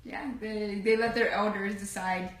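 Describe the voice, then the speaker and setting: Woman in her twenties, from Houston, relaxed interview guest, lots of "yeah", roomy echo. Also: medium pitch, a little slow. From Houston, Texas, US. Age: 20s. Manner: relaxed, agreeable, many "yeah"s. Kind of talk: casual interview answers. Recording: echoey room.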